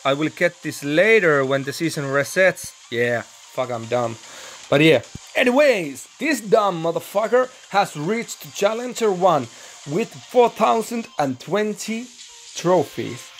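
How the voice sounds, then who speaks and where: A young man from Finland, speaking English with a Finnish accent as his second language, commenting excitedly and swearing while recording a mobile game. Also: on the high side, slow pace. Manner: swearing, excitable, thinking aloud, broken English. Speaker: gaming channel host.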